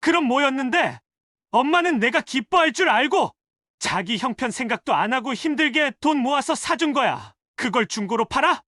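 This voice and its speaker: High, bright, accusing spouse as acted out. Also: animated, dry recording. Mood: indignant. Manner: accusatory, rapid rhetorical questioning. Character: indignant adult son scolding his wife over his mother. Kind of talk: dramatized family story dialogue.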